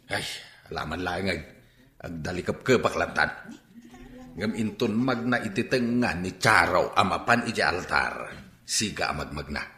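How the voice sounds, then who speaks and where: Deep, forceful grumbling Ilocano father. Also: lively intonation, moderate pace, roomy sound, heavily acted. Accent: Filipino, Ilocano. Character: grumbling, suspicious father nitpicking at a wedding. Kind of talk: radio drama acting.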